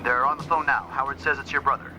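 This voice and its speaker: Businesslike male tower controller played by an actor, rapid procedural delivery, middle register, music underneath. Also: medium pitch, little pitch movement, background noise, natural voice. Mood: brisk, official. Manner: clipped, procedural. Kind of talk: acted medical dramatization.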